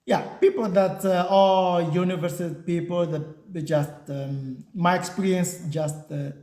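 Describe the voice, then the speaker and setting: Slow, halting man. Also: high-pitched, fairly even intonation, mellow. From Portuguese-speaking country. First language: Portuguese. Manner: halting non-native English, many fillers and restarts. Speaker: software engineer and channel host. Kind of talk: casual talking-head vlog. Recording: echoey room.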